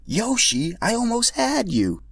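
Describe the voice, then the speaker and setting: Storybook dinosaur racer, played by a man: playful, breezy, excited, pitched up, dry sound. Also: unhurried, creaky, breathy edge, dry recording, full character voice. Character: playful, friendly young racing dinosaurs.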